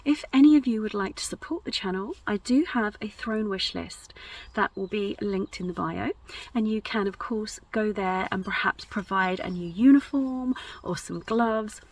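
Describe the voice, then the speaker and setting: Soft-spoken creaky-voiced woman, slightly distant. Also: medium pitch, moderate pace. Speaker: ASMR channel host. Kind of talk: soft-spoken direct address to subscribers.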